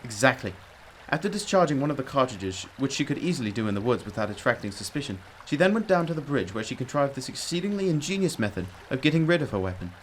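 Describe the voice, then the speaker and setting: A man's voice: medium pitch, big pitch swings, fast talker, slight background noise, lightly acted, rough recording. A man playing a brilliant, commanding detective in a radio drama, explaining emphatically with old-fashioned courtesy. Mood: assured, explanatory. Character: brilliant, commanding, self-critical male detective.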